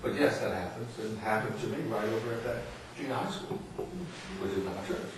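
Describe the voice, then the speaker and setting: A man speaking reflectively in a group discussion, with halting, deliberate and rather formal phrasing. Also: lowish voice. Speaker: audience member at a book reading. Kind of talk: reflective discussion at a book talk. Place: indoor literary salon, far from mic. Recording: very echoey, distant, noisy room recording.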